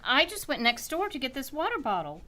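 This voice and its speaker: Actress as motherly housewife, radio sitcom. High, lively pitch, concerned but firm. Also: a little fast, heavily acted. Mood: polite, insistent. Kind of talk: 1940s radio comedy acting.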